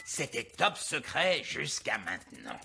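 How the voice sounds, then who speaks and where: A man's voice: medium pitch, huge pitch swings, takes it slowly, creaky, sharp tone, soft background music, heavily acted. An actor playing a wise old male elf in a French-dubbed children's cartoon, fussy and pompous, lecturing as he speaks. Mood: boastful.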